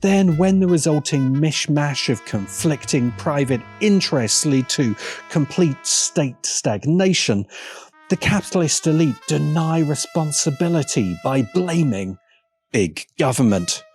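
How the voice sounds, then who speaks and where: A man's voice: fairly high pitch, expressive, unhurried, a little mellow. A man delivering scripted, opinionated commentary in an emphatic, sardonic way. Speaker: video essayist. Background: music.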